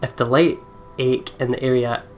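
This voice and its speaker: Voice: mid-range voice, flat delivery, normal speed, slight background noise. A gamer doing casual let's play commentary, swearing and stumbling while reading visual novel narration aloud.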